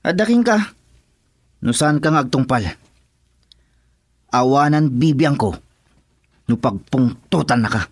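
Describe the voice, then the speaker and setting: A man's voice: medium pitch, lively intonation, unhurried, long pauses. A man with an Ilocano Filipino accent playing a cocky, goading gang henchman in a radio drama.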